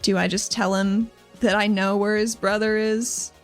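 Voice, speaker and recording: A woman's voice: mid-range voice, flat delivery, normal speed, soft background music, natural voice. A woman voicing a resolute female squad leader, direct and businesslike, in a tabletop roleplaying game. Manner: direct, frank.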